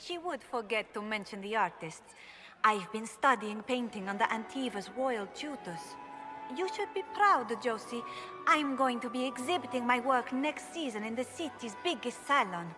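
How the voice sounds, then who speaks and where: A woman's voice: on the high side, expressive, normal speed, natural voice. An actress playing a bubbly, boastful young noblewoman in a video game, airy, theatrical and mischievous. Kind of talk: video game dialogue acting. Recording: clear music behind the voice.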